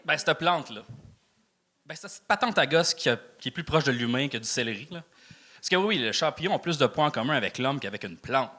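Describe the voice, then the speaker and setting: A man with a Québécois accent performing a stand-up routine, delivering crude punchlines flatly between filler pauses. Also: mid-range voice. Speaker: stand-up comedian. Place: comedy club stage.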